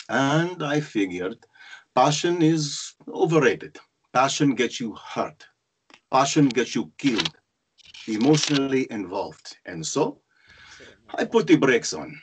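A man's voice: medium pitch, breathy edge. A man playing an ambitious, wry young Egyptian immigrant freshman, narrating his own story in a screenplay table read over a video call. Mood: wry, reflective. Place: indoors at home, group video call. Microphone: computer or headset on video call.

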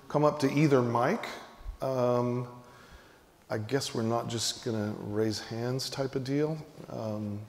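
A man moderating an academic panel, relaxed and making joking asides as he hands over to the audience. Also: mid-range voice. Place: large auditorium stage. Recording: long hall reverb.

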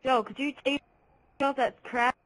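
Fairly high-voiced, exasperated female gamer. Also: fairly even intonation, unhurried, fairly bright tone, no room sound. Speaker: online game party player. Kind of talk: casual party chat. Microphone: game console party chat.

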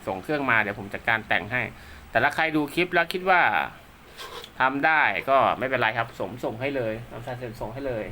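A man with a bright, forward voice talks casually through hands-on technical work. He is polite and rambles a little, as if half talking to himself while handling gear. Faint ambient noise sits behind him. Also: mid-range voice, expressive, a little fast. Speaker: radio technician and seller presenting his work. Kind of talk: casual hands-on unboxing commentary.

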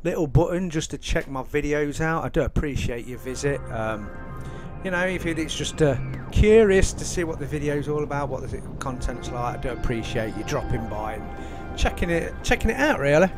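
A man's voice: a little high, expressive, moderate pace, over music. A man hosting a gaming video, chatting casually in a polite, rambling, hesitant way and often repeating himself. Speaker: gaming YouTuber, host. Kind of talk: casual video intro commentary.

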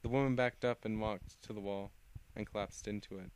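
A man's voice: fairly low pitch, steady tone, brisk, a little mellow, slight background noise, rough recording. A man casually reading his own fan fiction aloud, often stumbling and restarting words. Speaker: reader.

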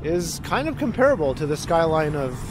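A man chatting casually while walking a city street and filming a vlog, relaxed and often laughing. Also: on the high side, brisk. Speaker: vlogger. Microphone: handheld gimbal camera. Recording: loud street and traffic noise. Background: passing traffic.

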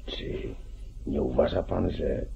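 An actor playing a beleaguered, reluctant sheriff in an old radio play, husky and hesitant. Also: male voice, a bass voice, takes it slowly, mellow, exaggerated voice. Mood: weary, deferential. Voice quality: husky, gravelly. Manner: hesitant, deferential, weary. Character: beleaguered, reluctant male small-town sheriff. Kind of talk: mid-century Polish radio play acting. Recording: dull 1940s radio recording.

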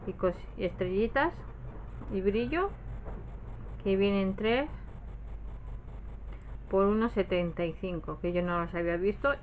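Slow-paced Spanish woman chatting, slightly noisy recording. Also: medium pitch. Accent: Spanish from Spain. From Spain. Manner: rambling, often trailing off and self-correcting. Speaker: craft haul vlogger. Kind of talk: casual chat, showing purchases to camera.

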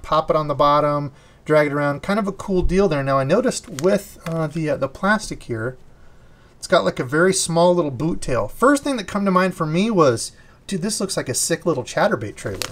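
A young man with a slightly high voice chats eagerly about fishing lures. He talks casually and warmly about old favorites. He is close to the mic, indoors at a table. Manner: chatty and enthusiastic, fond of old lures. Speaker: fishing channel host. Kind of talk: casual unboxing chat.

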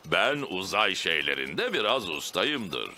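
A man's voice: low-pitched, sing-song, takes it slowly, sharp tone, slight background noise, full character voice. A man playing a jolly, boastful, easygoing father pig in a children's cartoon. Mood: jovial, boastful.

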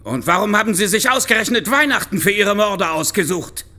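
An actor playing an indignant police detective in a horror audio drama, confrontational, demanding and exasperated. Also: male voice, high voice, lively intonation, a little fast, pushed and bright. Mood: indignant, agitated.

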